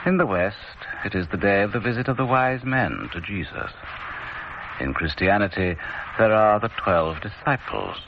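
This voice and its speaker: An actor playing a sinister male horror anthology host, narrating theatrically with a deep, gravelly voice. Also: lively intonation, moderate pace, noisy background. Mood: ominous. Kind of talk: 1970s-style radio drama narration. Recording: muffled old radio broadcast. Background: loud eerie music bed.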